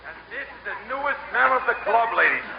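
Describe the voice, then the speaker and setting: A dim henchman, with a gravelly, fairly high voice and blunt, slangy talk. It is old radio comedy acting on a noisy, echoing recording. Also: sing-song, fast, a crowd in the background, heavily acted. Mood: dutiful. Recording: noisy, echoey old radio broadcast, dull.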